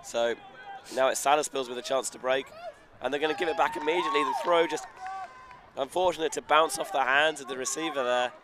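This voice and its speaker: Man, live play-by-play sports commentary, flowing calls that rise with the action, medium pitch, outdoors, noisy crowd backdrop. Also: a little fast. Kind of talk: live commentary on an ultimate frisbee match. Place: outdoors beside a sports field. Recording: outdoor crowd and traffic noise. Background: crowd and outdoor ambience.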